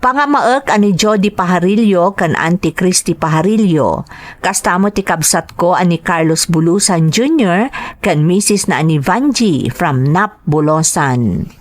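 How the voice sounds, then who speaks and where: A woman's voice: fairly low pitch, expressive, measured pace, no echo. A woman with an Ilocano accent reading greetings and letters as a podcast host and narrator.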